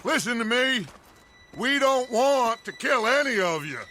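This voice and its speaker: An actor playing a middle-aged American outlaw gang leader in a video game, commanding and theatrical, with a rousing, oratorical way of speaking. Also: male voice, very high-pitched, expressive, unhurried, sharp tone, slight background noise, heavily acted. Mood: menacing. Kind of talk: video game cutscene acting.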